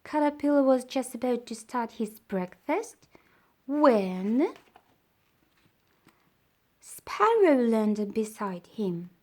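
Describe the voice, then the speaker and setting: Slow, very expressive woman storyteller. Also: on the high side. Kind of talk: expressive children's story read-aloud.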